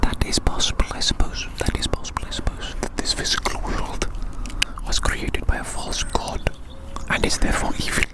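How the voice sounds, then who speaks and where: An ASMR performer speaking in a breathy whisper, rambling gently with repeated phrases, formal wording and soft tag questions. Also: moderate pace.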